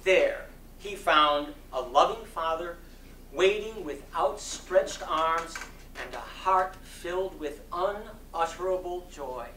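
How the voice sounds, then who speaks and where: A man giving a dramatic reading of a sermon, oratorical and impassioned, with deliberate phrasing and strong emphasis. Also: a little high, bright, forceful tone. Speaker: reader of a sermon. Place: community center hall. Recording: distant mic in echoey room, faint noise.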